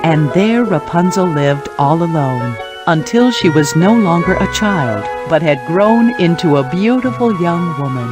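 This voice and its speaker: A woman playing a kindly fairy godmother, gently narrating a children's storybook game. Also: lowish voice, animated, a little slow, hardly any pauses, over loud music. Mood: gentle storytelling. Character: kindly female fairy godmother telling fairy tales.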